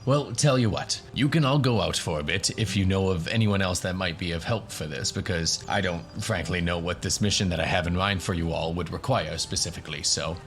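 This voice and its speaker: A man's voice: low voice, fairly quick, few pauses, a bit bright, a little hiss or hum, voice pushed down. A man voicing a flustered, eager professor who rambles and fumbles politely in a radio-play tabletop game. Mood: flustered, hopeful.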